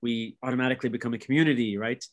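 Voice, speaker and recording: A man's voice: mid-range voice, steady tone, rapid-fire. A man, talking thoughtfully on a video call from a home studio and searching for words as he goes. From Lebanon. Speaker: musician in conversation. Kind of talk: casual reflective conversation. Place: home studio room indoors.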